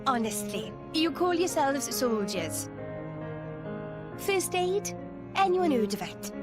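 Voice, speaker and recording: A woman's voice: high voice, big pitch swings, slow, full character voice. A woman playing a cheeky, boastful young healer in a video game, with a breezy, informal manner. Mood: scolding, proud. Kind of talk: animated video game voice acting. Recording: loud music under the voice. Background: loud music.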